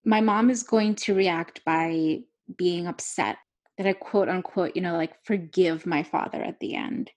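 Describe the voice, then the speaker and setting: A woman's voice: fairly low pitch, unhurried, a little mellow. A young adult woman author talking candidly and rambling, with lots of filler words and laughing asides. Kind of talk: candid conversational talk.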